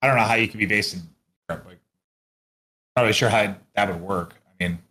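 Man, fairly low voice, casual podcast chat, blunt and sweary esports caster type. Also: fairly quick, sharp tone. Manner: profane, blunt, colloquial. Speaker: esports commentator and podcast co-host.

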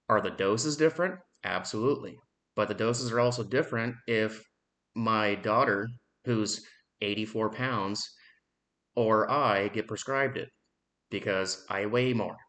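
A man in his thirties giving a blunt, frustrated opinion monologue on his own podcast, swearing freely and pausing often on "um.". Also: lowish voice, fairly bright tone. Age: 30s. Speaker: podcast host.

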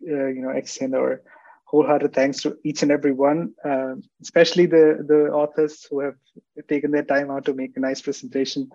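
A man's voice: medium pitch, dark tone, no echo, highs cut off. A man, a guest editor moderating an online panel, politely and formally thanking the authors and participants.

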